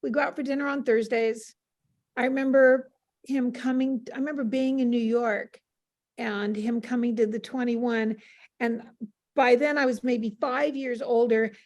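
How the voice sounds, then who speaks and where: An older woman with a fairly high voice shares memories as a guest on a panel. Her talk is casual and anecdotal, with a gently teasing streak. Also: fairly even intonation, moderate pace. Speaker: interview guest.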